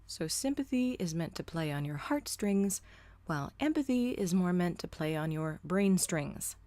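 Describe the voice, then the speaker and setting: A woman's voice: on the low side, expressive, moderate pace, dark tone, no echo. A woman delivering scripted opinion commentary in a blunt, sardonic manner, casually profane. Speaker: host of a solo commentary show.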